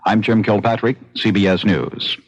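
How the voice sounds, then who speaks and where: A man's voice: fairly low pitch, fairly even intonation, moderate pace. An American man reading a scripted radio news bulletin as a reporter. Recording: dull archival radio broadcast.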